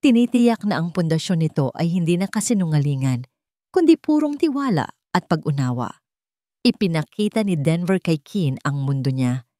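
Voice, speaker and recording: A woman's voice: low-pitched, sing-song, moderate pace, mellow, no echo, studio quality. A woman reading scripted narration of a sentimental love story.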